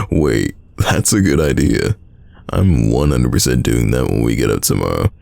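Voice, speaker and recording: Man acting a very deep, gravelly, secretly smitten young guy. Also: sing-song, a little mellow, no echo, heavily acted. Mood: teasing, casual. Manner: casual, conversational, halting, teasing. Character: young man, best friend's sibling, secretly smitten, teasing and guarded. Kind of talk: roleplay audio drama, intimate casual chat.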